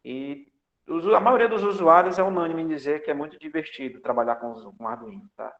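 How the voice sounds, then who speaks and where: A man's voice: on the high side, brisk, a bit bright. Man lecturing in an echoey room. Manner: conversational, many fillers and tag questions; jokey asides. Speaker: computer architecture lecturer. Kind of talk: online lecture to students. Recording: echoey, dull lo-fi lecture audio.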